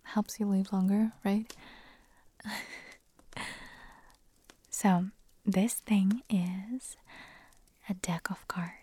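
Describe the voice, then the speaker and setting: Raspy whispering woman, slow and husky. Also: medium pitch, fairly even intonation, dark tone, no room sound. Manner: giggly and self-deprecating, chatty asides. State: hoarse, nearly losing her voice. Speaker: ASMR creator. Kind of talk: whispered ASMR audio.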